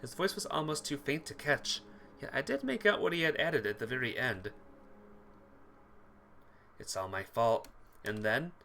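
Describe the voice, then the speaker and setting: An expressive man narrates a visual novel for a playthrough. His slightly high voice is bright and very lively in pitch, with a theatrical read-aloud delivery. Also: a little fast, gravelly, a little hiss or hum. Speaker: playthrough host. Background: music.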